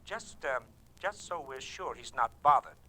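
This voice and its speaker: A man's voice: medium pitch, animated, sharp tone. An actor playing a charming, evasive businessman with a glib, hesitant patter in a 1940s radio drama. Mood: charming, persuasive. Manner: brisk, glib, with calculated hesitations.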